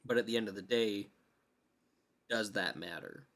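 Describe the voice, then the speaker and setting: A man's voice: fairly low pitch, moderate pace, a bit bright. A man co-hosting a podcast, talking casually and giving his opinions in a conversational way. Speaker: podcast co-host. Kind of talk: casual chat about games and films.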